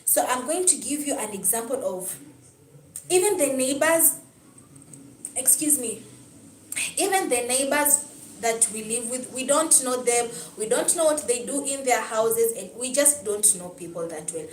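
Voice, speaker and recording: A woman's voice: high voice, expressive, a little slow, reverberant, recorded from a distance, low-quality recording. A young Kenyan woman with a Kenyan accent, hosting a casual livestream, reading comments aloud and telling a personal story. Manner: chatty, answers chat comments, storytelling. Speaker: livestream host. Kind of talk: casual livestream talk with viewers.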